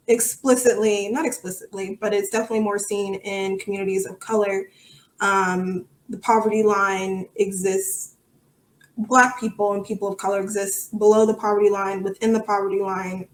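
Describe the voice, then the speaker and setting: Woman, medium pitch, roomy echo, podcast guest, conversational, earnest, reflective. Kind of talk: conversational interview answers. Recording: roomy, echoey sound.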